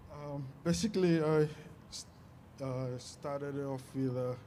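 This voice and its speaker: A man answers interview questions as an athlete at a live event. He speaks slowly and haltingly, with frequent hesitations and little movement in pitch. There is faint noise in the background. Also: fairly even intonation, dark tone. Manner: hesitant, halting with many long pauses. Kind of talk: unscripted on-stage interview answers.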